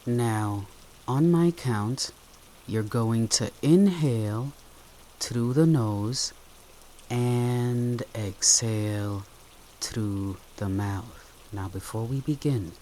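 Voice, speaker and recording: A meditation guide speaking gently and soothingly in short phrases with long pauses, leading a guided relaxation. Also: medium pitch. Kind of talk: guided meditation, soft instructional narration. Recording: steady rain sound behind the voice. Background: rain.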